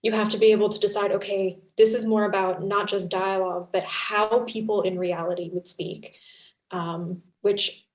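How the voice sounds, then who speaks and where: A woman talks conversationally as a panelist, with a mid-pitched voice in a roomy, echoing space. Her delivery is effusive and wandering, like someone thinking aloud about her craft. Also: little pitch movement, moderate pace. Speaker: panelist, fiction podcast writer.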